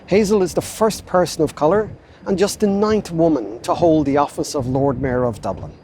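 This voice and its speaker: Diplomat host, man, slightly raised pitch, polished and measured. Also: a little mellow, a little hiss or hum. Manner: measured, courteous introductions. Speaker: consul general. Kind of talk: formal hosting remarks.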